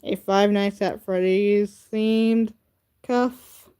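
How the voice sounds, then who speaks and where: A teenage girl hosting a casual livestream. She talks slowly and rambles through her sentences, padding them with fillers as she holds up crafts and speaks straight to her viewers. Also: medium pitch, steady tone, dark tone, a little hiss or hum. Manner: rambling, filler-heavy with many "like" and "um". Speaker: livestream host. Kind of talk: casual livestream chat showing handmade crafts.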